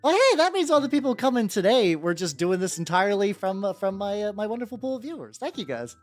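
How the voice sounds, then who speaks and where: A livestreamer talks off the cuff with lively, swinging intonation. The delivery is rambling and irreverent, full of repeated words and casual swearing. Faint music plays behind the voice. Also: mid-range voice, fast talker. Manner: rambling, stammering, repeats words mid-sentence. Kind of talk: casual livestream chat while painting miniatures. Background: background music.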